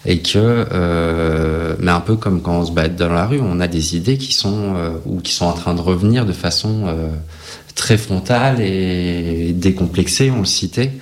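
A man in his late thirties speaking as a panel guest, halting with many filler sounds. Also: low-pitched. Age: 30s. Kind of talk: casual discussion.

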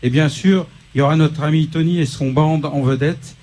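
A man's voice: a little high, steady tone, moderate pace, a little mellow. A man hosting a studio interview who keeps hesitating and restarting his sentences. He sounds like a live local show presenter talking to a guest musician on set. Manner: hesitant, many fillers and restarts.

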